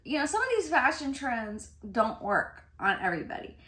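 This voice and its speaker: A woman's voice: sits high, big pitch swings, normal speed, fairly bright tone. Woman in her fifties, casual vlog chat, laughs often, recorded in an echoey room. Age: 50s. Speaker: fashion vlogger.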